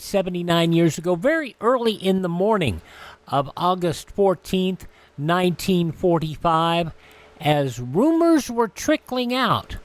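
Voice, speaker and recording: A man hosting a radio program, introducing the show and its segments. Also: a little high.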